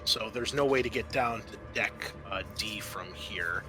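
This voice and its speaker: A man narrating a tabletop roleplaying game as game master, improvising and thinking aloud with hesitant pauses. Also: on the low side, music in the background.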